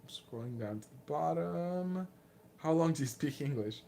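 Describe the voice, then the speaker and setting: A man in his late twenties with a Lithuanian accent, casually answering viewer questions in a candid, self-deprecating, halting way. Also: fairly high pitch, lively intonation, creaky, mellow, slight background noise. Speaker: martial arts vlogger and fighter.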